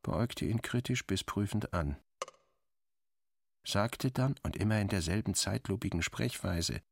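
A man's voice: lowish voice, animated, measured pace, vocal fry, a little hiss or hum. A man performing dramatic first-person literary narration in character, wry and theatrical, in clipped phrases.

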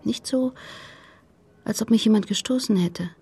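A grieving middle-aged mother in a radio drama. Her voice is extremely husky and creaky, often close to a whisper, and she speaks guardedly and haltingly. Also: fairly high pitch, mellow. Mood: subdued, halting. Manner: halting, hesitant. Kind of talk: radio play acting.

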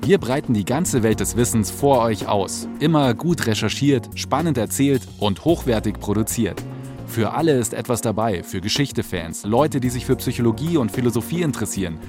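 Lowish-voiced man reading a promo. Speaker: promo announcer. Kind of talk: scripted podcast trailer voice-over. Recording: clear music bed behind voice.

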